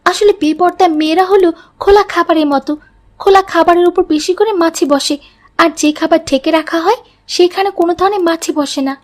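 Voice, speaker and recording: A woman's voice: very high-pitched, brisk, a little mellow. A woman voicing a headstrong young college girl in a moral cartoon story. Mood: friendly, earnest. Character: headstrong college girl Fatema, later remorseful.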